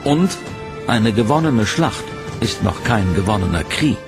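A man giving scripted, measured documentary narration in a dramatic tone. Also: lowish voice, lively intonation. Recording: narration over loud music.

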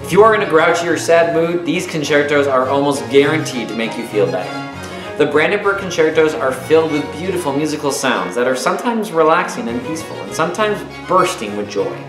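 Man, 30s, teacher-style read-aloud of a kids' nonfiction book. Lively, expressive pitch movement, roomy home recording. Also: brisk. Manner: expressive, clearly phrased for young listeners. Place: small room at home, indoors. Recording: roomy echo with music behind. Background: music.

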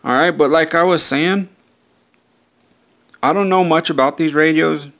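A man's voice: fairly high pitch, measured pace, fairly bright tone. A man talking casually through a hands-on product review in a plain-spoken, repetitive way, often punctuating with "all right".

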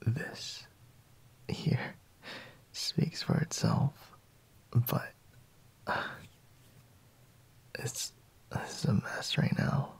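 A man playing a sleepy, intimate boyfriend in a whispered ASMR roleplay, murmuring haltingly in a breathy, husky voice. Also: medium pitch, wildly swooping pitch, full character voice. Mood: hushed, flustered.

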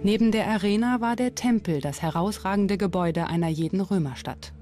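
A documentary narrator reading a scripted commentary in a measured, explanatory way. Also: medium pitch, dark tone. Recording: music bed under narration. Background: music.

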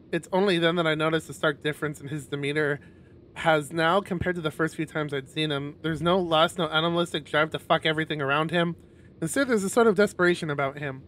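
A man reading a visual novel aloud on a stream in an expressive narrating style. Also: a little high.

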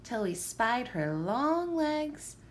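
A woman reading a children's picture book aloud as a teacher, with expressive, deliberate phrasing. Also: fairly high pitch, a little hiss or hum.

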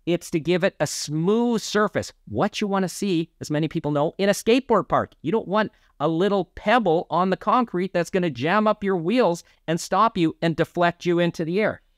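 A man in his fifties gives an explanatory talk to camera. His voice sits a little high, and he stresses his phrases deliberately. The sound is very dry, recorded in a small indoor studio. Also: dry recording. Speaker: presenter of an audio explainer series.

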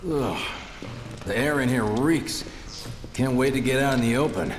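An actor playing a casual, wry young resistance fighter in a video game. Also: male voice, medium pitch, unhurried, slight background noise, lightly acted. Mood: casual, grumbling. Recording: very echoey tunnel sound, loud music.

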